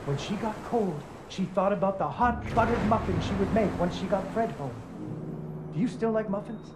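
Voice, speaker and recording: A man's voice: high-pitched, normal speed, very noisy, natural voice. A man playing a playful, doting father who narrates a fairy tale with wry expression in a video game. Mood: playful, dramatic. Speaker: storyteller. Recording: music under the voice. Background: prominent music.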